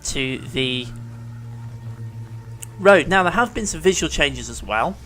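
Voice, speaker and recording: A man's voice: on the high side, expressive, slow, bright, forceful tone, faint music behind. A man with a cold and a sore throat, chatting and thinking aloud as he commentates his gameplay at home. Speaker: let's play commentator. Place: living room at home.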